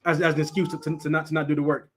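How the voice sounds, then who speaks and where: A man's voice: fairly high pitch, level tone, very fast, a bit bright, a little hiss or hum. A man who stutters and repeats words, casually teaching a Bible class and laughing often. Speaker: teacher leading a Sabbath class.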